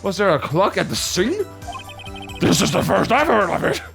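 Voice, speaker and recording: A man's voice: high-pitched, very animated, moderate pace, creaky, over music. A man doing a comic, improvised dramatic reading with giggly, profane asides. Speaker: amateur voice-over reader.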